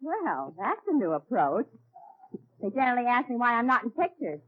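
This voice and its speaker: An actress playing a sassy, unimpressed stocking-counter clerk with dry delivery in 1940s radio comedy. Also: female voice, a little high, big pitch swings, normal speed, slightly rough. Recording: tinny, band-limited 1940s radio recording.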